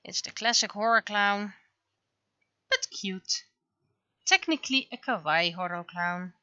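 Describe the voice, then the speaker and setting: Woman, bright forceful voice, casual exasperated streamer rant, animated delivery, close dry mic, slightly muffled audio. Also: mid-range voice, unhurried, clear, resonant tone, no room sound. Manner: animated, exasperated, acts out imagined exchanges. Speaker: livestreamer, webcomic artist. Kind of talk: casual livestream chat, ranting.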